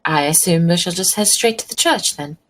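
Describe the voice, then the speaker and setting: A woman's voice: on the low side, a little fast, fairly bright tone. A woman talking casually as a player in a tabletop role-playing game. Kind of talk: casual game chat, describing her character.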